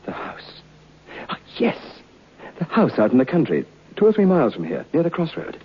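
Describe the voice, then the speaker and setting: An actor playing a curious, bookish gentleman recounting a ghost tale in formal, earnest old-time radio style. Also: on the high side, huge pitch swings, moderate pace, slightly rough, full character voice. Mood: astonished, eager. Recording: muffled old radio recording.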